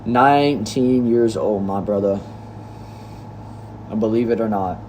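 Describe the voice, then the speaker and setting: A man's voice: on the low side, slow pace, a little mellow, slight background noise, low-quality recording. Laid-back young man streaming. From Texas, United States. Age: young adult. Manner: laid-back, chatty, addresses viewers as bro and y'all. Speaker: livestreamer reading chat. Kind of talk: casual livestream chat. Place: indoor room.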